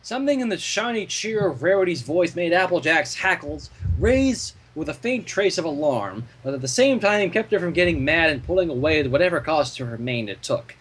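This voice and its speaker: High-voiced, husky man narrating. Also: lively intonation, a little fast, few pauses, a bit bright, low-quality recording. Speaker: fan fiction reader. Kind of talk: expressive story narration.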